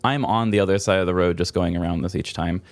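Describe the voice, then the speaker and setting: A man's voice: sits low, fairly even intonation, fast talker, no room sound, clean studio sound. A man co-hosting a podcast, telling everyday stories in an informal, mildly exasperated way. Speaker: podcast co-host. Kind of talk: casual anecdotal chat.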